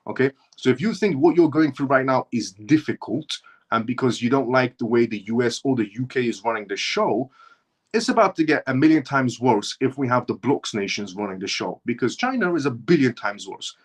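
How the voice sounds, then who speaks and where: A man's voice: medium pitch, animated, a little fast, breathy edge. A man from Iran hosting a livestream, giving an impassioned opinion monologue that hammers points through repetition and restarts mid-phrase. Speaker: livestream host.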